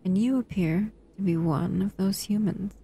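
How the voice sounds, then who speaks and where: A woman's voice: medium pitch, moderate pace, warm tone, slightly rough. A woman voicing a dangerous, bored humanoid monster in an audio roleplay, speaking to the listener in a languid, teasing, menacing way. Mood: aloof, curious.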